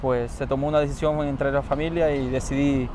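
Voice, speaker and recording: A man from Ecuador with an Ecuadorian accent, calmly explaining his experience in a street interview, often trailing off softly. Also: medium pitch, brisk. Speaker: interviewee, newly arrived asylum seeker. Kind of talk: casual interview answers. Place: outdoors on a city street. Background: faint traffic noise.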